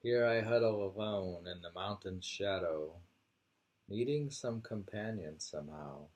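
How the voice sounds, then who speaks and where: A man reading poems aloud and discussing them casually, in a deliberate way, repeating lines and musing on them. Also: deep voice, a little mellow. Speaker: poetry reader and commentator.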